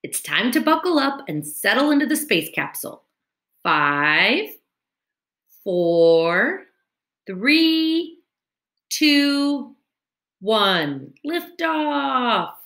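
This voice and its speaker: A woman's voice: on the high side, very slow, long pauses. A woman in her thirties reading a children's storybook aloud like a teacher, expressively, at a computer mic in a room at home. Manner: expressive, addressing young children. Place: indoor room at home, screen-recorded webcam video. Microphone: computer mic at webcam distance. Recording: roomy, echoing home recording.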